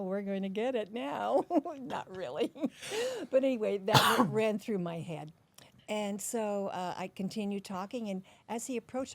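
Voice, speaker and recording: An older woman from the Netherlands, a podcast guest recounting memories in an unhurried, storytelling way. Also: medium pitch. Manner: warm, unhurried storytelling with soft laughs. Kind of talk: storytelling interview.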